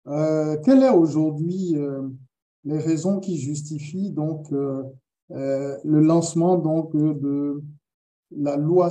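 Hesitant male interviewer, dark voice. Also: a little high, rough recording. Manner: hesitant, many fillers while phrasing questions. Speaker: interviewer host. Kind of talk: interview question.

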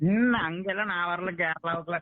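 A man's voice: high voice, fast talker, noisy background. A young man chatting casually over the phone. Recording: tinny dry phone line.

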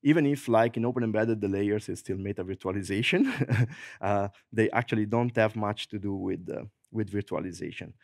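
A middle-aged man with an Italian accent giving a lively technical talk in a large conference hall, speaking into a handheld microphone and filling pauses with frequent "uh"s. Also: fairly low pitch, creaky, studio quality. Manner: lively, fillers like "uh", jokes and laughs.

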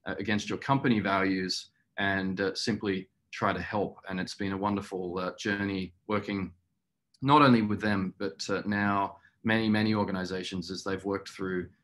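A middle-aged man with a fairly low voice speaks on a video call as a company executive. He explains things earnestly and at a measured pace of thought, pausing often as he gathers his words. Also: fairly even intonation, a bit bright. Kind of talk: webinar interview answer. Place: small indoor room, video call.

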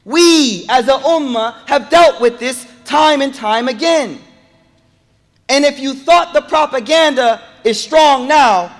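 A man giving a Friday sermon, moving from calm storytelling to loud, emphatic preaching and repeating phrases for emphasis. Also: very high-pitched, slow. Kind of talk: impassioned sermon. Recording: echoey hall reverb.

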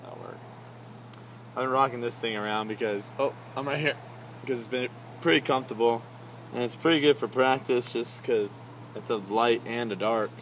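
A man's voice: mid-range voice, normal speed, fairly bright tone, poor audio. Distracted young man vlogging over background noise. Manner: easily distracted, chatty, exclamatory. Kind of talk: casual rambling vlog to camera. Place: on the go, car then grocery store. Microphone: handheld camera he sets down. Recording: clear ambient and vehicle noise.

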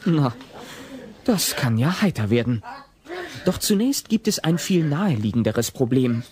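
A man's voice: medium pitch, big pitch swings, unhurried, a little mellow. A man narrating a scripted comedy audio play as its storyteller.

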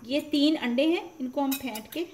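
A woman's voice: sits high, moderate pace. A woman hosting a home cooking show, giving recipe instructions step by step. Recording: loud frying sizzle and stirring noise. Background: sizzling pan and stirring.

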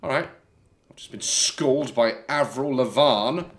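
A man's voice: mid-range voice, unhurried. A man in his thirties commenting jokily on a horror game, yelping at scares, on a headset mic. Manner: jokey, yelps and shouts at scares. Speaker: gaming youtuber.

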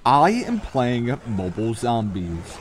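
A man's voice: medium pitch, wildly swooping pitch, takes it slowly. Man rambling playfully over noisy game gunfire. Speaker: gaming streamer. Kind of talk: casual gameplay commentary with joking riffs. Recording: gunfire and game noise behind. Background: video game gunfire and ambience.

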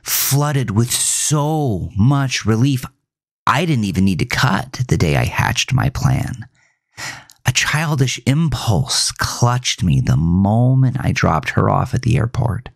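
A man narrating a first-person horror story for a podcast, with dramatic pauses and whispery emphasis. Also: lowish voice, big pitch swings, vocal fry, dry recording, studio quality.

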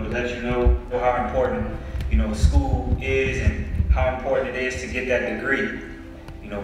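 Earnest former player in echoey hall playback. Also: male voice, mid-range voice, distant mic. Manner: earnest, preachy, many "you know"s. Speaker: former player giving a video message. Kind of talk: recorded video message to players. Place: video played on a screen in a dark hall.

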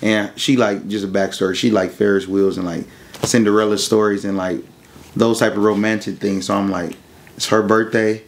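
A man's voice: fairly low pitch, little pitch movement, moderate pace, slight background noise. A young man telling a personal story as an interview guest in a casual, colloquial, matter-of-fact way. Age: young adult.

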